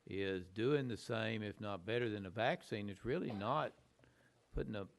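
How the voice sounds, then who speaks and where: A man's voice: lowish voice, normal speed, vocal fry. A man, a state senator, arguing in committee in an earnest, plain-spoken way that repeats itself. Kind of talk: committee debate.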